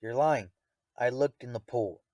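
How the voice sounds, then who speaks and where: A man's voice: mid-range voice, a little slow, warm tone, no echo, studio quality, natural voice. A man playing a stern, distrustful father in a radio drama, with a commanding, accusing manner. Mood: stern, angry. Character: stern, distrustful, bickering father of two children.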